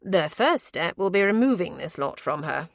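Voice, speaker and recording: A woman's voice: lowish voice, very animated, normal speed, vocal fry, studio quality. Lady doing strict male doctor in a period audiobook, formal n emphatic, precise, no echo. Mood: earnest, measured. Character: earnest, exacting male physician, scornful of quackery. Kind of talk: audiobook character voice.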